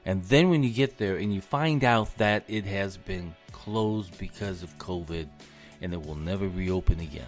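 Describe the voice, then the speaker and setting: Podcast guy going hoarse, fired up, repeats himself, faint music underneath. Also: low voice, normal speed. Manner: indignant, repeats words while ranting. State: losing his voice. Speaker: podcast host. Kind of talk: solo podcast commentary.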